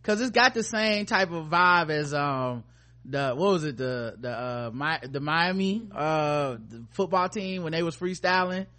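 A man's voice: on the high side, measured pace, sharp tone. A man hosting a casual comedy podcast, reacting with incredulous, slangy, profane commentary. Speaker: podcast host.

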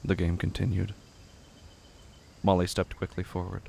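A man narrating scripted horror fiction in a measured, somber, deliberate manner. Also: low-pitched, monotone, creaky, darkish tone, a little hiss or hum.

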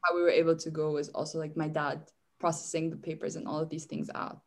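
A woman's voice: sits low, little pitch movement, fast, darkish tone. Chatty young woman, casual and rambling. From Syria. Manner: chatty, restarts often, says "like" a lot. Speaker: guest. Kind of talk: casual interview.

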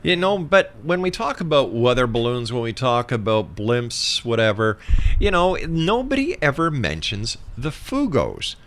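A man's voice: medium pitch, very animated, unhurried, a bit bright. A man presenting a radio talk show with an emphatic announcer's delivery in short phrases.

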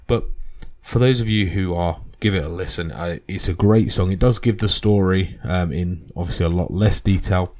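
A man's voice: sits low, moderate pace, hardly any pauses, a little mellow. A man hosting a history podcast, talking casually and earnestly with plenty of fillers and restarts. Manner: rambling, earnest, many fillers.

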